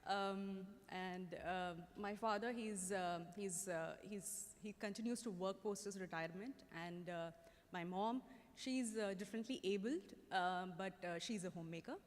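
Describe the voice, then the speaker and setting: Halting Indian-accented woman in echoey hall. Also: medium pitch. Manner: halting, many hesitations. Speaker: consultant on a panel. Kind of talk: panel self-introduction. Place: conference stage in a large hall.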